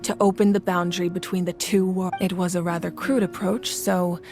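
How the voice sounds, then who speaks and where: A woman's voice: lowish voice, flat delivery, a little mellow, close to an everyday voice. A woman playing a composed, noble fire ruler. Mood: explanatory, solemn. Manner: measured, formal, earnest. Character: composed, noble adult female fire ruler, wise and reassuring. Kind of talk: scripted video game voice acting. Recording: music behind the voice.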